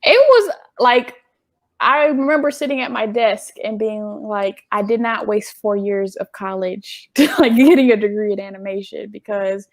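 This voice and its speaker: A woman's voice: mid-range voice, normal speed, no echo. A woman in her thirties from Detroit with a light voice, chatting in a loose way with many fillers as an interview host. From Detroit, USA. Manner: chatty, lots of fillers and laughs. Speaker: host, animator. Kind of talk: casual interview talk.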